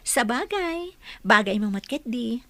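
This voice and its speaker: An actress playing a polite, diligent young office worker in a radio drama, matter-of-fact in manner. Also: female voice, on the high side, animated, slow, sharp tone, no echo, full character voice. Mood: friendly.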